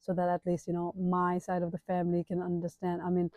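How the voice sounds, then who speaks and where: A woman's voice: on the low side, monotone, brisk, no echo, phone-quality sound. A woman in her thirties with a Nepali accent, chatting casually to viewers in a self-introduction vlog, addressing them directly with emphatic asides. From Kathmandu, Nepal. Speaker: page owner and digital entrepreneur.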